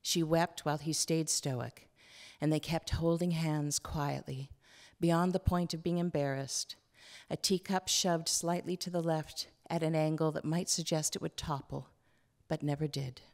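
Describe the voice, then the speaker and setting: Female author for a live fiction reading, lower register, storyteller delivery. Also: steady tone, unhurried. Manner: storytelling, acts out dialogue. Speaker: author reading from own novel. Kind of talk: expressive literary reading to an audience. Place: live book launch in a hall.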